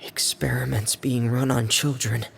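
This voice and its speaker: A man playing a shy, pensive young character in a video game, soft-spoken, hesitant and quietly worried. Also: medium pitch, little pitch movement, measured pace, creaky, a little hiss or hum.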